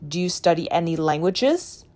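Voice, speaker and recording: A woman's voice: lowish voice, animated, moderate pace, a little mellow. A woman asking short, plain practice questions in a beginner's speaking drill. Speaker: question prompter in a language drill. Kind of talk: scripted drill prompts.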